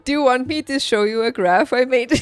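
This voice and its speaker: A young adult woman chatting casually on a livestream, telling personal stories in an emphatic, animated and informal way. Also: sits high, clear, resonant tone, dry recording. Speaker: livestreamer, former nurse. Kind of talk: casual stream chat, personal storytelling. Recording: background music behind close dry voice. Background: music.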